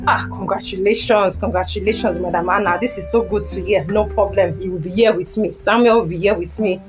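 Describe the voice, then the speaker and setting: Casting: a woman as a gentle elderly Nigerian temple priest for a podcast play, deep-set delivery, soothing and repetitive. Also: steady tone, a little fast, over music, close to an everyday voice. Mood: pleased, reassuring. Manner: repeats short reassuring phrases. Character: kindly older male temple priest, reassuring. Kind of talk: podcast audio drama acting.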